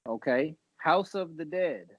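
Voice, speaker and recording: A man's voice: a little high, expressive, a little slow. A man hosting a podcast in casual chat about movies and games, speaking informally and often repeating his phrases as he goes. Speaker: podcast host.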